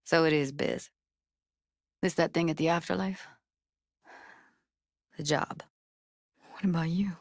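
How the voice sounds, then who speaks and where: A woman's voice: sits low, fairly even intonation, measured pace, long pauses, no room sound, clean studio sound. A woman playing a guarded, blunt but tender young techie in a video game, speaking in a casual, clipped, streetwise way. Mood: concerned, guarded. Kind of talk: naturalistic video game voice acting.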